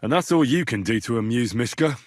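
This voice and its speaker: A man playing a young, earnest, plucky medieval blacksmith turned adventurer in a video game, with a plain-spoken, expressive delivery. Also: medium pitch, fairly quick, no room sound, studio quality, close to an everyday voice. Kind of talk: video game voice acting, dramatic dialogue lines.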